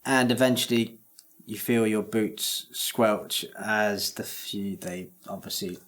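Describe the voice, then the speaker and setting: A man narrating a tabletop game as game master, describing scenes in a halting, searching way. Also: on the low side, a bit bright.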